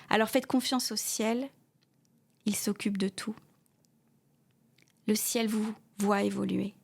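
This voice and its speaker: A woman guiding a yoga nidra meditation, speaking softly with pauses and repeated soothing phrases, often trailing off into a breathy whisper. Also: mid-range voice. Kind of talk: guided meditation with a read inspirational text.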